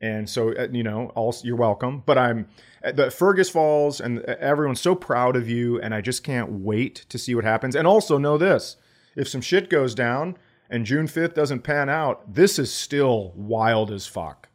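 A man's voice: medium pitch, expressive, normal speed. Man telling stories in casual chat. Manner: profane, conversational, acts out quotes. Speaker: podcast co-host. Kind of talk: casual chat, comedic storytelling.

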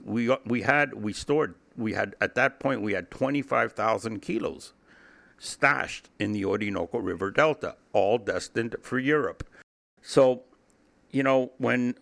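A man's voice: fairly low pitch, creaky, fairly bright tone. A middle-aged man, an interview guest, telling a first-person story casually and acting out the dialogue as he goes. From Cuba. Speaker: interview guest, former drug trafficker.

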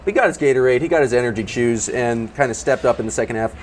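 A man's voice: mid-range voice, fast, a little hiss or hum. A man talking as a panelist in a casual basketball discussion. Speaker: sports panelist.

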